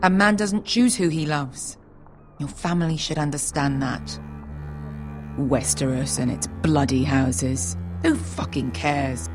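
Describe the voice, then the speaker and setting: An actress playing a tough, irreverent female sellsword in a video game, sardonic, blunt and crude. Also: takes it slowly. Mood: blunt, persuasive. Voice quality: low-pitched voice. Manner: sardonic, blunt, swearing freely. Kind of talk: video game character dialogue. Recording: music playing behind.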